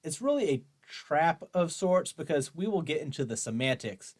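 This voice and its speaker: A man's voice: fairly high pitch, very animated, moderate pace. A man hosting an opinion show, reading an article aloud and commenting on it in an argumentative, dryly sarcastic way. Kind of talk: article read-along with running commentary.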